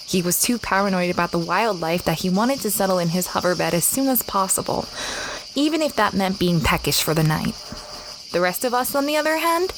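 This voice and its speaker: An expressive young woman explorer, played by an actress in an audio drama, recounting her expedition in the first person. Her voice sits at a middle pitch. Clear insect ambience runs behind her. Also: background noise, natural voice. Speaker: narrator and lead character.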